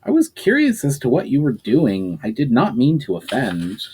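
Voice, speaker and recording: A man voicing a humble, curious young human man who speaks politely and apologetically, trying to stay calm. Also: medium pitch, normal speed, a little hiss or hum. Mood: contrite. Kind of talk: dramatic reading of a novel.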